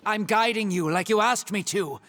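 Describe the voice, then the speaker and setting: A man's voice: high voice, normal speed, a bit bright. A man playing an insistent, knowing conspirator and guide in a video game cutscene, his voice in long reverb. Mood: exasperated. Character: scheming, impatient male conspirator guide.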